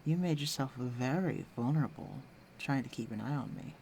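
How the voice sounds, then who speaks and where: A man acts out a self-assured fantasy villain in an intimate roleplay audio drama. His mid-pitched voice has a gravelly fry and moves at an unhurried, teasing pace, flirtatious yet protective. Faint night noise and crickets sit behind him. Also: expressive. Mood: protective, chiding. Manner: teasing, self-assured, unhurried, dropping into hushed near-whispers. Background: night ambience with crickets.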